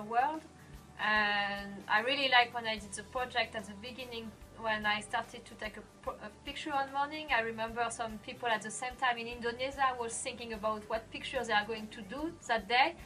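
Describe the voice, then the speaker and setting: A woman's voice: on the high side, sharp tone, low-quality recording. A woman, answering interview questions earnestly in slightly broken English. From France. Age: 30s.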